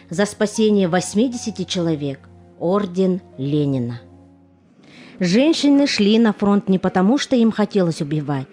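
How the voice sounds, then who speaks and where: A woman narrating a scripted documentary drama in a solemn, measured manner. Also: mid-range voice, mellow.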